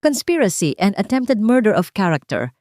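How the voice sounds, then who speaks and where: Babaeng gumaganap na pormal na pulis na lalaki. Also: female voice, mid-range voice, sing-song, normal speed, a little mellow, no room sound, studio quality, pitched above the performer's own voice, close to an everyday voice. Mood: stern. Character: formal male police officer making an arrest.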